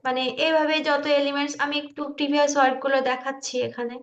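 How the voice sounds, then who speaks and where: Woman tutor, high flat voice, dull online-call audio, hesitant informal walkthrough. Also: fast talker. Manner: hesitant, checks listeners understand. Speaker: tutor demonstrating video animation software. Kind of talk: informal screen-share tutorial. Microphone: online meeting call.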